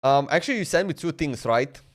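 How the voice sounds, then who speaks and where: Chatty streamer guy, slightly high voice. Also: expressive, fairly quick. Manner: loose and chatty, says "bro" and "man" a lot, laughs often. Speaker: game streamer. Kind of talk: casual livestream chat while playing.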